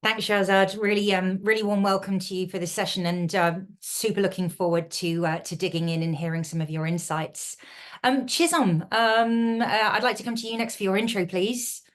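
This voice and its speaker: A woman's voice: medium pitch, steady tone, normal speed. A woman speaking from her home office on a video call, moderating a panel and talking with many hesitations and restarts. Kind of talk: online panel hosting.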